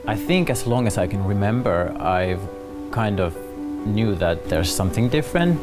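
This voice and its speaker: A man in his thirties speaks in a low voice with a Finnish accent. He talks casually and expressively, like a guest in a relaxed interview, with guitar music clearly playing underneath. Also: normal speed. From Finland. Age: 30s. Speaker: talk show guest.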